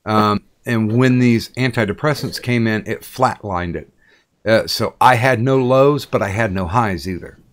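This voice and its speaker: A middle-aged man interviews a guest at a slow, unhurried pace, his voice sitting low. He stops often, restarts sentences and fills gaps with "uh" and "um." It sounds like relaxed conversation. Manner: slow, halting, many fillers and restarts. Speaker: podcast interviewer. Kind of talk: casual interview chat.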